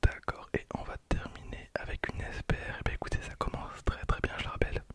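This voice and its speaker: A man in his twenties whispers breathily and softly into a close microphone, hosting ASMR commentary with an unhurried, repetitive delivery. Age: 20s. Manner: soft, unhurried, repeats phrases. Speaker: ASMR creator and host. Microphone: close handheld-style stereo recorder.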